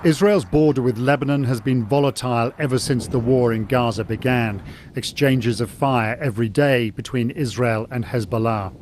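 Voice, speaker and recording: A man's voice: medium pitch, normal speed, a little mellow, slight background noise. A man reporting a news story in a clipped, sombre manner.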